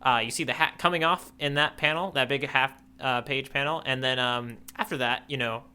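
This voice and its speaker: A podcast co-host with a bright, forceful voice pitched right in the middle of a man's range. He talks in a loose, rambling way, circling back to find his words as his enthusiasm carries him along. Nothing about his delivery feels scripted. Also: fairly even intonation, a little fast, smooth. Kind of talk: casual manga discussion chat.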